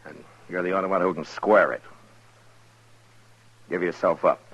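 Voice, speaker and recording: A deep, husky frontier marshal. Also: male voice, steady tone, normal speed, fairly bright tone, heavily acted. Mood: firm, reasoning. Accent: American. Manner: firm, plain-spoken, commanding. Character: stern, fair-minded frontier marshal, commanding and steady. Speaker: radio actor. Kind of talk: 1950s radio western acting. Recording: muffled vintage radio recording.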